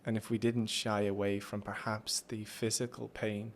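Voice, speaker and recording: An Irish man hosting a reflective conversation, musing slowly and thoughtfully. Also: fairly low pitch, level tone, a little hiss or hum. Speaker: podcast host.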